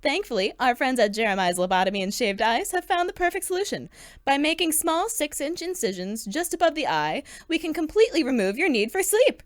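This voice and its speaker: Lady doing an old timey radio ad gal, high voice bouncing all over, chirpy n salesy, polished patter. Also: very animated, a little fast, dry recording, studio quality. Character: chirpy, salesy female sponsor announcer of old-time radio. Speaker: sponsor pitchwoman. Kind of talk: old-time radio advertisement parody.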